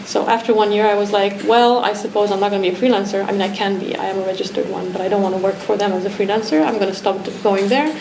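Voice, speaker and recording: A woman's voice: medium pitch, fairly even intonation, quick pace, vocal fry, hardly any pauses. A woman from Romania, an artist, speaking reflectively with many restarts and fillers in a talk discussion. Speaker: artist guest. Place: art academy pavilion. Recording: echoey room with background noise.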